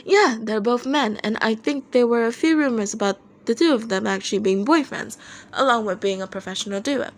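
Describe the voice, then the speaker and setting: Lady doing an eager polite young band boy, pitched up high, bit creaky, storybook read. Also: fairly quick, lightly acted. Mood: curious. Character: earnest, easily amazed young man in a band.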